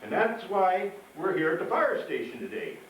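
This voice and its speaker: A man's voice: high voice, huge pitch swings, moderate pace. A man hosting a fire safety show for children as a firefighter, chatty, folksy and plain-spoken, repeating himself and putting questions to the audience. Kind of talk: live presentation to kids, chatty and folksy. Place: live show at a fire station. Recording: echoey, distant mic, noisy live show.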